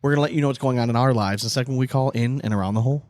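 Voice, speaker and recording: A man's voice: medium pitch, rapid-fire, very noisy. An American man co-hosting a casual sports podcast, chatting informally with loose, profane banter. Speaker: podcast co-host.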